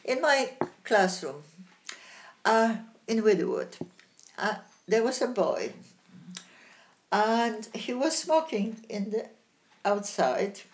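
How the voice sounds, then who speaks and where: An older woman with a Polish accent, a retired teacher telling stories in an interview, lively and halting with many restarts. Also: mid-range voice. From Poland. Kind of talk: interview storytelling.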